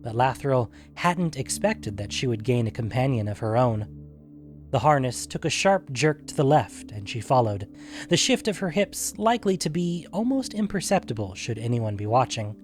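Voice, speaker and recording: A man reading a scripted fantasy story as narrator, in a measured storytelling manner. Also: mid-range voice, very animated, faint music behind.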